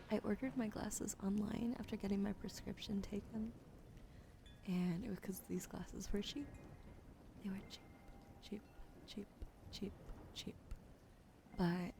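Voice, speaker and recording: Soft whispering young woman, gravelly edge. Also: medium pitch, a little hiss or hum. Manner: soft unvoiced whisper, slow and soothing. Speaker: ASMR streamer. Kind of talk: whispered ASMR chat with viewers.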